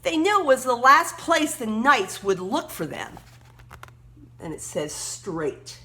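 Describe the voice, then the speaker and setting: Animated, high-voiced woman reading a children's story. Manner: emphatic, pauses to point out words and pictures. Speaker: read-aloud storyteller. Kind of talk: expressive children's story read-aloud with teaching asides.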